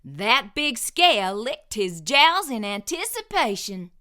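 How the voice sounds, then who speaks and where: Woman, storybook reader, high voice, slow, expressive sing-song delivery. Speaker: storyteller host. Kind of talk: reading a picture book aloud.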